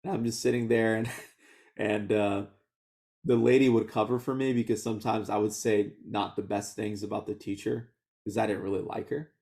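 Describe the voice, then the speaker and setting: A man in his thirties from Houston, whose first language was Vietnamese, telling his life story in a relaxed oral history interview, often laughing and restarting his sentences. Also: fairly low pitch, moderate pace. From Houston, Texas. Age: 30s.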